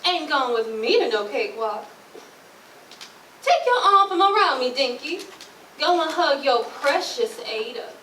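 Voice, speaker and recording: Lady playing huffy dismissive woman onstage, high voice, roomy with a little noise. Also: big pitch swings, a little slow, pauses often, a bit bright, full character voice. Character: aloof, indignant young Southern woman brushing off suitors. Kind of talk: readers theatre stage acting. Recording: echoey, distant, faint noise.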